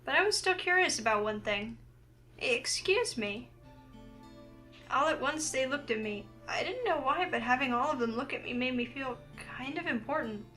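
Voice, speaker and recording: A woman voicing a curious, introspective young heroine, reading her thoughts and lines aloud from a visual novel in an earnest, expressive way. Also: sits high, moderate pace, faint music behind. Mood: pensive.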